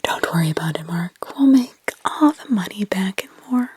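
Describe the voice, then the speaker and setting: A woman voicing a calm young wife who speaks softly and reassuringly in a whisper. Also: a little high, moderate pace, slightly rough. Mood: soothing. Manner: soft, soothing, reassuring.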